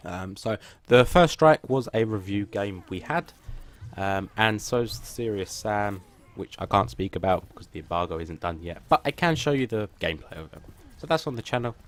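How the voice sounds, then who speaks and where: A man with a somewhat low, plain and flat voice talks casually to his viewers about his gaming channel. He rambles and hesitates, restarting sentences often. He keeps running himself down as he goes. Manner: self-deprecating.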